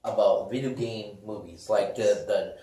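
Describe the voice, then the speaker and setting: A man's voice: on the low side, steady tone, unhurried, mellow. A man co-hosting a casual fan chat, speaking in an informal, rambling way. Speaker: co-host of a pop culture video show. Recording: roomy echo, distant mic, faint noise.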